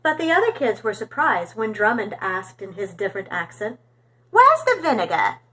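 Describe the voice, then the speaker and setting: A woman reading a storybook aloud for young children in an expressive, animated storytelling manner. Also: mid-range voice, moderate pace, slightly rough, rough recording.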